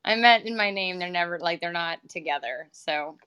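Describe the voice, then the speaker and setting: A woman's voice: on the low side, moderate pace, pushed and bright, clear, resonant tone. A woman, the guest of a casual chat, friendly and laughing easily.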